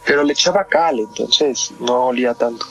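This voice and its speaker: A man's voice: medium pitch, moderate pace, a bit bright, soft background music, low-quality recording. A man with a Mexican accent talking analytically in a podcast discussion, explaining things and often checking with tag questions.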